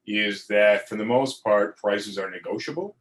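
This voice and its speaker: A man with a fairly low voice tells a casual, rambling story, circling back over his words and swearing freely. His delivery is loose and conversational. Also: moderate pace, a bit bright. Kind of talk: casual chat.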